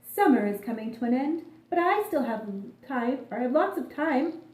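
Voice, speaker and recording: Casting: woman to play carefree singing grasshopper, female, high and very swoopy, theatrical storybook delivery, roomy sound. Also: very animated, normal speed, a little mellow, recorded from a distance. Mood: breezy.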